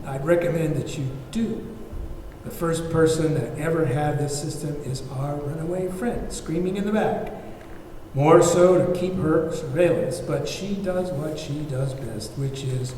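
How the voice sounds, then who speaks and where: A man playing a smug, self-righteous hired kidnapper with a condescending, measured delivery in a script table read. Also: on the high side, dark tone, lightly acted. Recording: very echoey, distant, faint noise.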